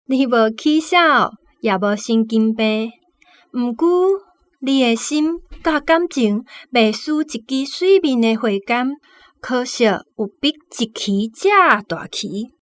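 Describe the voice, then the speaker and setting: A woman playing a frank, composed young woman with a Taiwanese accent, admonishing deliberately in a radio drama. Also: on the high side, expressive. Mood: firm.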